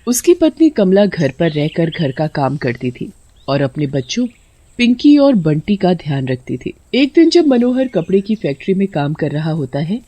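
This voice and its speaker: A woman's voice: fairly low pitch, big pitch swings, moderate pace, dark tone, no echo. A woman reading a scripted story as narrator.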